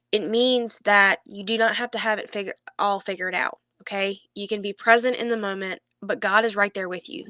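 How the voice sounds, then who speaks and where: Bright, clear-voiced woman, slightly stuffy. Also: mid-range voice, dry recording. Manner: earnest, reassuring, halting conversational delivery. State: stuffy nose, under the weather. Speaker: faith vlogger. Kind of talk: heartfelt devotional talk to camera.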